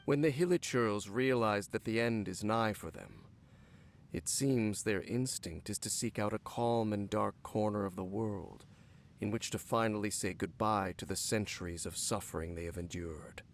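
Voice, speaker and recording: Low, solemn cursed knight played by a man. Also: a little hiss or hum, natural voice. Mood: somber, weary. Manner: formal, measured, solemn speech. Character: brooding, cursed centuries-old former royal guard captain, grave and guarded. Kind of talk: video game character dialogue. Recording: game audio with background music. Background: game music.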